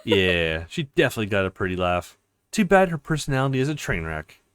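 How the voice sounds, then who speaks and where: A man's voice: fairly low pitch, sing-song, a little fast, slight background noise. A man hosting a let's play, talking casually over a visual novel with loose, hesitant asides. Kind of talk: casual game playthrough commentary, reading dialogue aloud.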